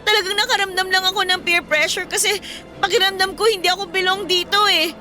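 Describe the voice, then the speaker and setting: A woman's voice: very high voice, fairly even intonation, fast talker, pushed and bright. An actress playing an insecure, pleading teenage girl student with a Filipino accent in a radio romance drama. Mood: defensive, apologetic. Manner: pleading, emotional.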